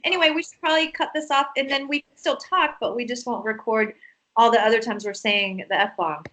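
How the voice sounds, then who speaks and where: A woman hosting a casual chat with a friend, giggly and animated as she tells stories, recorded over a call. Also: a little high, brisk. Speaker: host.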